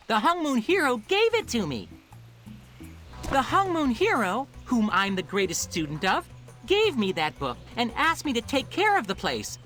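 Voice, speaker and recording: A woman's voice: sits high, a bit bright. A woman playing a cocky, bratty kid in a video game, boastful, haughty and taunting. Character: cocky bratty kid boasting as self-declared top apprentice. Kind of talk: video game character acting.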